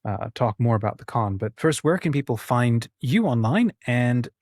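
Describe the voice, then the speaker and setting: A man's voice: medium pitch, lively intonation, normal speed, a little mellow, dry recording, clean studio sound. A man hosting a casual interview, thinking aloud a little hesitantly as he talks. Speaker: interview host.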